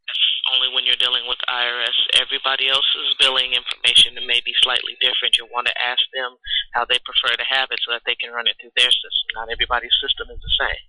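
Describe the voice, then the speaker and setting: A man's voice: mid-range voice, monotone, fast talker, bright, forceful tone, no room sound. A man working as a tax agency phone agent, giving patient, procedural step-by-step instructions over a telephone line. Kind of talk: customer service phone call. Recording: telephone call audio.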